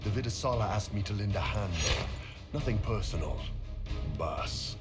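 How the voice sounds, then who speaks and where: An actor plays a gruff male mercenary who has turned traitor in a fantasy game. His low voice is curt, callous and offhand, under very loud music. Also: lively intonation, moderate pace, close to an everyday voice. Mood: coldly casual. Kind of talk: dramatic video game acting.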